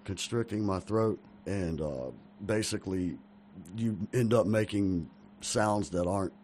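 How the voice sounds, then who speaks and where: Drowsy baritone man, animated storyteller. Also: unhurried, slight background noise. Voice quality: naturally baritone voice. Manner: animated storyteller, acts out quoted people. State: half asleep, early morning. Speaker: band vocalist, interview guest. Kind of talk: casual chat.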